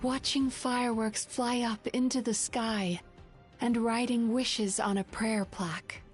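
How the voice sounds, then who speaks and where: A woman voicing a game heroine, speaking in an earnest, calm and steady way. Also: fairly high pitch, measured pace, soft background music, close to an everyday voice. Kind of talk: game voice acting.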